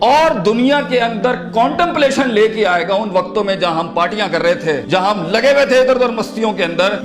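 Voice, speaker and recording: A man with a Pakistani accent giving an impassioned motivational Islamic lecture, speaking emphatically with rhetorical repetition and switching into English phrases. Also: extremely high pitch. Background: background music.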